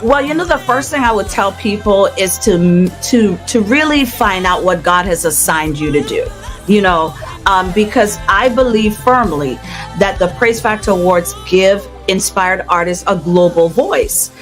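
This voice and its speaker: A woman's voice: mid-range voice, animated, measured pace, faint music behind. A woman gospel singer speaking as an interview guest in an earnest, testifying, conversational way, with an easy laugh.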